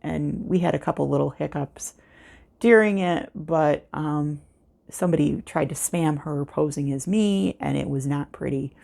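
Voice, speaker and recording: An American woman chatting casually in an unscripted vlog, with a calming voice and a rambling, unhurried way of talking. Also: deep voice, gravelly. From United States. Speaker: craft vlogger, host. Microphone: external microphone with a cell phone.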